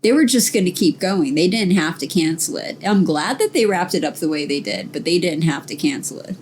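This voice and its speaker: Female co-host, lower register, informal conversational delivery, slight background noise. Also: lively intonation, a little fast. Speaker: podcast co-host. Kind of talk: casual chat.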